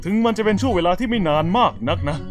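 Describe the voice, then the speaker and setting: Melodramatic anime hero, intense, self-important, theatrical declaiming, swooping high pitch, music behind, comedy dub. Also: male voice, lively intonation, fast. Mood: solemn, dramatic. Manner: theatrical, declaiming, shouting special-move names.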